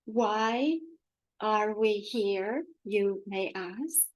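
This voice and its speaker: A woman with a Romanian accent voicing a loving collective of star beings that speak as one, in a very slow, measured, solemn way. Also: medium pitch, a little mellow, dry recording. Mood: serene. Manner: very slow, measured, solemn delivery with long pauses.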